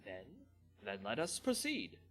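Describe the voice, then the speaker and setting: A man's voice: medium pitch, huge pitch swings, slow, a bit bright, soft background music, full character voice. A man voicing a fussy, formal mage scientist in a storybook reading, technical and stiffly polite. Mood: affronted, cautioning. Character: fussy, formal male ghost-mage scientist, easily flustered.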